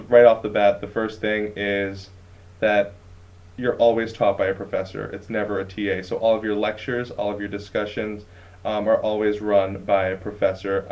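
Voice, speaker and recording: Young student man, muffled lo-fi sound. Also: on the low side. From Brooklyn, New York. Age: young adult. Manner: upbeat, casual, many ums and likes. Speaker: student intern. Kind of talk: live online presentation to prospective students.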